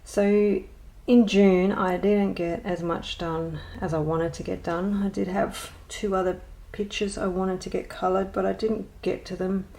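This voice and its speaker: A woman's voice: medium pitch, flat delivery, a little slow, vocal fry, few pauses, dark tone. A woman chatting casually in a show-and-tell vlog, rambling and hesitant with many ums and self-deprecating asides. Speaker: colouring channel host.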